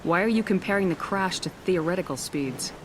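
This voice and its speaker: A woman playing a brisk, authoritative space commander in a video game, direct and terse. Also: lowish voice, expressive, a little hiss or hum, lightly acted. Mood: firm, inquiring. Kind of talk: dramatic game dialogue.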